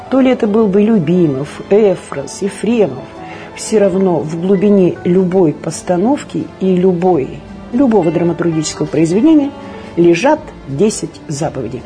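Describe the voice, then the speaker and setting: A woman speaking reflectively, as in an interview, in earnest, deliberate short phrases. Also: mid-range voice, dark tone. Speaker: theatre practitioner.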